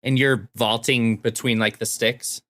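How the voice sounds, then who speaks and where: A man's voice: mid-range voice, fairly even intonation, a little slow, fairly bright tone. A man co-hosting a podcast, telling stories about games in a casual, plainspoken way. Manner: informal, plainspoken storyteller. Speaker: podcast co-host.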